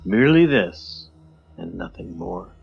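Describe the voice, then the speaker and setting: A man co-hosting a podcast, giving a theatrical poetry reading that swings between loud highs and hushed drops. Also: very animated, measured pace, vocal fry, breathy edge. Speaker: podcast co-host. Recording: slightly muffled with faint music.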